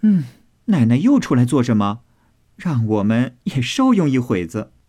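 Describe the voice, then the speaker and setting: A man's voice: medium pitch, big pitch swings, normal speed, mellow, breathy edge. A man voicing a cheeky, spirited young maidservant in a storybook, saucy and mock-indignant with many exclamations. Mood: cheerful.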